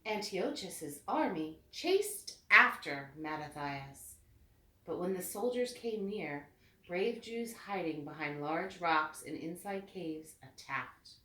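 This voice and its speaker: A woman reading a storybook aloud with expressive, deliberate phrasing meant for children. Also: on the low side. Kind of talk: read-aloud storybook narration. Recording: echoey room, distant mic.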